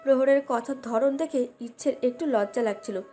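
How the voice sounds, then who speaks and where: Female narrator for romantic audio fiction, high register, scripted read with a light music bed underneath. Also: moderate pace, mellow. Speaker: story narrator. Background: background music.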